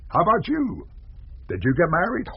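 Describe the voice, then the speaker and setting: A man's voice: sits high, wildly swooping pitch, moderate pace, gravelly, exaggerated voice. An actor playing a hearty, informal middle-aged newspaperman in a radio drama. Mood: frank, reminiscing. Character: hearty middle-aged newspaperman, settled after restless wandering years. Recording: muffled, noisy radio recording.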